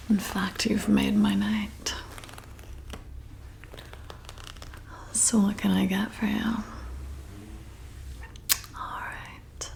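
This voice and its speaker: Woman as a creaky, whispering flirty vampire bartender. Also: mid-range voice. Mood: flirty, welcoming. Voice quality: breathy whisper with creaky edges. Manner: slow, intimate, coaxing. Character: flirty, welcoming female vampire bartender, softly seductive. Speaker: ASMR performer. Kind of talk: ASMR roleplay, whispered.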